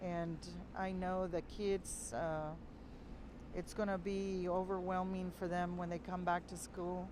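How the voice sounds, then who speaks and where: Middle-aged woman being interviewed outside, flat low voice, halting, traffic noise. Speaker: interviewee, mother of an injured student. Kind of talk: spontaneous TV interview answers. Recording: clear outdoor noise, vehicles.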